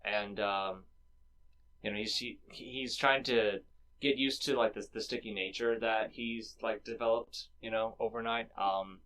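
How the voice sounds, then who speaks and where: Man, fairly low voice, casual podcast talk, relaxed, lots of fillers. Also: unhurried, poor audio. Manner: laid-back, many fillers like "you know" and "um". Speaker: podcast co-host. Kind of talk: casual movie discussion.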